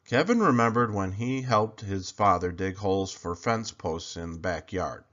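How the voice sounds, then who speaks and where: A man narrating a children's picture book aloud in an expressive storytelling style. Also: low voice, normal speed, muffled recording.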